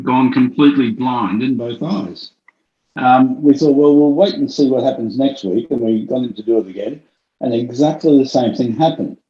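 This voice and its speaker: A vision scientist telling a story in a conversational interview, with frequent ums and restarts. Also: male voice, medium pitch, echoey room, muffled recording.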